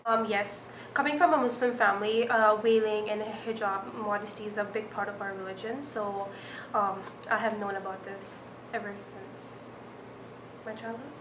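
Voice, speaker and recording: Young woman early 20s, flat hesitant interview answers, far from mic, noisy. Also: medium pitch, normal speed. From South Asia. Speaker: student interviewee. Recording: echoey room, distant mic, clear background noise.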